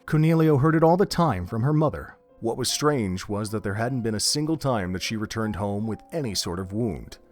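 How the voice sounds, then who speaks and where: A man narrating an audio drama in an expressive storybook manner. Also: fairly low pitch. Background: faint music.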